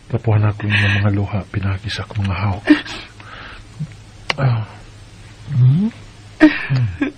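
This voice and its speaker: An actor playing a devoted, playful young husband in a sentimental radio drama, tender and earnest. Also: male voice, lowish voice, very animated, takes it slowly, long pauses, background noise. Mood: tender, teasing. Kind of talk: sentimental radio drama acting with first-person narration. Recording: dull lo-fi radio sound with music.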